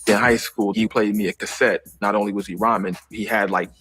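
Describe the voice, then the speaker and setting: A man's voice: fairly low pitch, fairly even intonation, a little fast, over music. Man recalling a friend's talent. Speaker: documentary interviewee.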